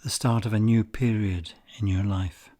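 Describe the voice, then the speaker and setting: A man guiding a meditation, speaking softly and calmly, some phrases nearly whispered. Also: fairly low pitch. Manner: soft-spoken, calm and unhurried, with breathy near-whispered phrases. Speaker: meditation guide. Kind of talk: slow guided meditation.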